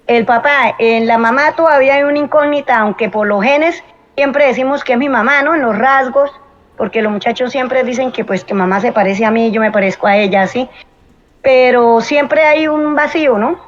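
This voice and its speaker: A woman's voice: a little high, normal speed, dull audio. A woman in her forties from Venezuela, speaking as an interview guest in a hesitant, thoughtful way with many fillers. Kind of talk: personal interview.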